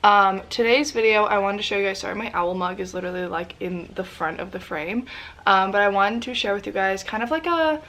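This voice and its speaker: A woman's voice: medium pitch, a little fast, fairly bright tone. A young woman talks straight to camera at home with a stuffy, congested voice. Her delivery is bubbly and rambling, full of casual fillers. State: congested, sniffly voice. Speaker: lifestyle vlogger. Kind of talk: chatty sit-down vlog. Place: indoors at family home.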